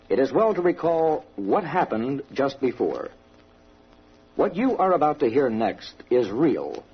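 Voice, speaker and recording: Solemn American man, animated vintage radio narration. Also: a little high, slightly rough. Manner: solemn, declarative, dramatic. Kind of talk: 1960s scripted radio documentary narration. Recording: dull vintage radio broadcast, faint music.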